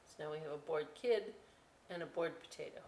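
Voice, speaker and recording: A woman reading a children's story aloud in an informal, expressive way with chatty asides. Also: deep voice, fairly quick. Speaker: library storytime reader. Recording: roomy indoor echo.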